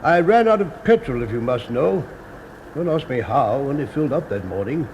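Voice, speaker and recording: A man's voice: mid-range voice, animated, brisk, darkish tone, muffled recording, natural voice. An actor with a British accent playing an irritable, put-upon businessman in a radio drama, curt and grumbling. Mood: irritated, defensive. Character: irritable, put-upon male office businessman under questioning. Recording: noisy classic radio drama recording.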